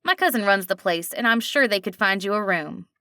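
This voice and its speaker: A woman's voice: medium pitch, lively intonation, a little fast, pushed and bright, no room sound, studio quality. A woman reading aloud, voicing a friendly, tired woman cashier in her forties with a slight nasal twang and a folksy, reassuring manner. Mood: kindly reassuring. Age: 40s.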